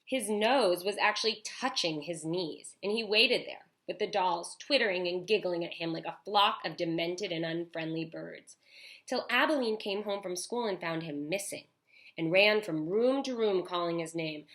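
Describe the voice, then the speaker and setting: A woman teacher reading a storybook aloud to children, expressive, with chatty asides. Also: lowish voice, moderate pace. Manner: animated, with chatty asides to young listeners.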